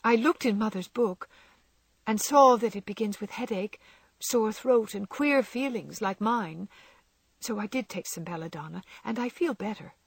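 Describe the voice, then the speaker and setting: Woman reading as a soft, timid young girl. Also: mid-range voice, moderate pace, slightly rough, dry recording, pitched above the performer's own voice. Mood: sad. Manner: soft, often whispering. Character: gentle frail young girl, timid and loving.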